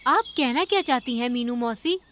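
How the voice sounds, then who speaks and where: A woman's voice: a little high, lively intonation, moderate pace, a bit bright, a little hiss or hum. A woman voicing a kind, inquisitive young female sparrow in a cartoon, earnest and questioning. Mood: earnest concern.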